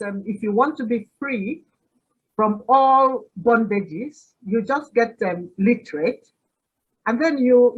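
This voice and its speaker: A woman in her sixties with a Nigerian accent and Igbo as her first language speaks slowly, in an unhurried, measured way. Her voice is husky and she laughs easily between pauses. The audio is dull and lo-fi. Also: a little high. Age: 60s. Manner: storytelling, laughs often, many "uh" pauses. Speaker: podcast guest. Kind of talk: reflective interview answer.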